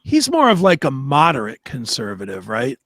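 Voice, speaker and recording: Male co-host, lively swooping intonation, joking and informal, dry close sound. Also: mid-range voice, moderate pace, creaky, no echo. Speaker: talk show co-host. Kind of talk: casual banter and questions.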